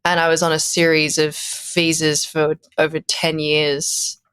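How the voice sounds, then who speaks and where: A woman's voice: sits low, completely flat delivery, a little slow, a bit bright, smooth, no room sound. A woman in her thirties with an Australian accent, chatting casually as a podcast guest and laughing often. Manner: chatty, laughs often, restarts mid-sentence. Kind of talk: casual conversation.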